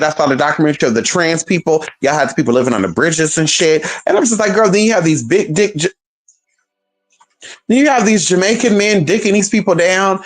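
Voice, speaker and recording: A woman chatting casually on a livestream, sassy and profane, calling her viewers honey and girl. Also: on the low side. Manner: animated, talks straight to viewers.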